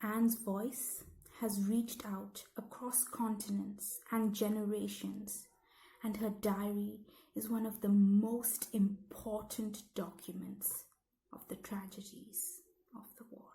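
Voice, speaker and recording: A woman reading scripted educational narration aloud in measured, deliberate phrases, as for a school lesson. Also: mid-range voice, level tone, creaky, dark tone, poor audio.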